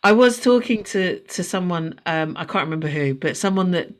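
A chatty woman in her forties co-hosts a casual podcast, her pitch swinging up and down with lively expression. She laughs readily and swears freely. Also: normal speed. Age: 40s. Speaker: podcast co-host. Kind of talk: casual chat.

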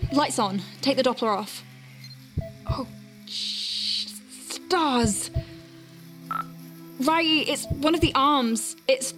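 An anxious woman medic in a science-fiction audio drama. Her voice sits on the high side and she takes her time, wry yet hesitant under pressure. Music runs underneath. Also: fairly bright tone, full character voice. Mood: tense. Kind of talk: sci-fi audio drama acting.

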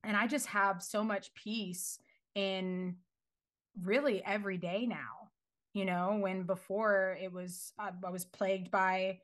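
A woman's voice: mid-range voice, steady tone, slow, fairly bright tone. Earnest woman sharing her testimony. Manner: earnest, laughs often, many fillers. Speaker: guest sharing her faith story. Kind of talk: casual faith testimony.

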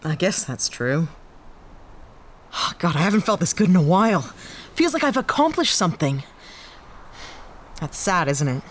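A woman's voice: lowish voice, sing-song, moderate pace, long pauses, a little hiss or hum. A woman playing a worn-out ex-cop, candid and rueful. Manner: candid, rueful, self-deprecating. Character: weary, disillusioned former cop turned freelancer. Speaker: lead character. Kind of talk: audio drama acting.

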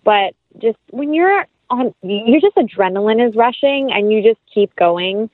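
A woman's voice: a little high, lively intonation, measured pace, no room sound. Chatty woman on a phone-like line. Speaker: actress, interview guest. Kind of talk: casual conversational interview.

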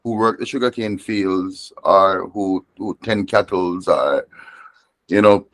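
A man's voice: sits low, slow, fairly bright tone. A Jamaican man, a reggae artist, talking reflectively in an interview, often restarting and repeating words as he gathers his thoughts. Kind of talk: conversational interview.

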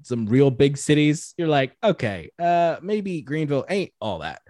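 A man's voice: a little high, sing-song, a little slow, darkish tone, no room sound, clean studio sound. A young man from Tanzania, a podcast guest, telling stories in a laid-back, slangy way. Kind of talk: casual chat.

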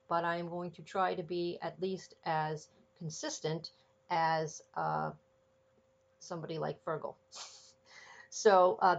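Lady podcasting with a stuffy cold, low gravelly voice, kinda dull lo-fi sound, lots of ums. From Boston, Massachusetts. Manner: chatty, frequent ums and uhs. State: congested from a cold. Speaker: podcast host. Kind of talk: casual podcast monologue.

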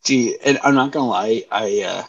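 A young man with a hoarse voice, chatting casually and recounting things in a joking, self-deprecating way. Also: on the low side, normal speed, lo-fi sound. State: hoarse from yelling. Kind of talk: casual chat, recounting a weekend.

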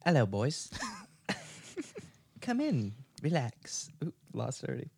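A man doing a smooth, menacing crime boss, lively pitch. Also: takes it slowly, a little hiss or hum, heavily acted. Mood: suave. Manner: mock-polite, theatrical.

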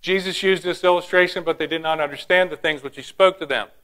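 A high-pitched man preaches with a bright, forceful tone. He tells stories and asks the congregation questions as he teaches. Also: fairly even intonation, fast. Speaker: pastor. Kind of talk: sermon.

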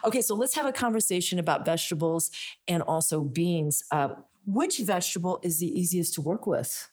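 Mellow-voiced woman hosting a chatty interview. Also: fairly low pitch, animated, fairly quick. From Greek family roots. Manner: chatty, with frequent fillers. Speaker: podcast host. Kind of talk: casual interview.

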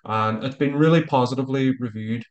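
A man's voice: mid-range voice, brisk, dull audio. Male podcast guest, Irish accent. Casual, emphatic, opinionated, colloquial. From Ireland. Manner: emphatic, colloquial, mildly sweary. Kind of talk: casual, opinionated interview talk.

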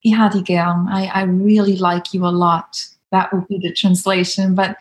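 A woman's voice: fairly low pitch, flat delivery, moderate pace. A woman in her thirties from Switzerland with a Swiss German accent, explaining ideas in conversation and sometimes searching for words.

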